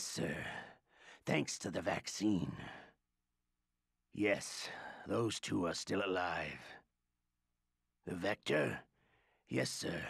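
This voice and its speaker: An actor playing a cool, sly secret agent in a video game, reporting deferentially to a superior in a gravelly, husky voice. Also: male voice, deep voice, a bit bright, dry recording, clean studio sound, heavily acted. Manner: deferential, measured, clipped.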